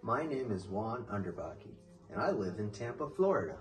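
A young man reading a children's picture book aloud in an expressive, deliberate storytelling manner. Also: on the low side, soft background music. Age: young adult. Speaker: storybook reader. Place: living room, indoors.

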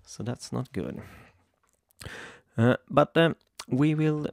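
A young man from Sweden with a Swedish accent, hesitantly walking through a software tutorial at his computer, with many ums and restarts. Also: mid-range voice, takes it slowly, vocal fry, no echo, studio quality. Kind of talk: screen-recorded software tutorial. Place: home room at a computer.

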